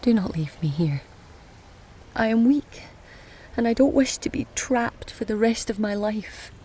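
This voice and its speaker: An actress playing a cunning, frail-seeming woman in an audio drama, formal and deliberate, often dropping into breathy whispers over a noisy ambient soundscape. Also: fairly high pitch, animated, mellow. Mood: weak, pleading.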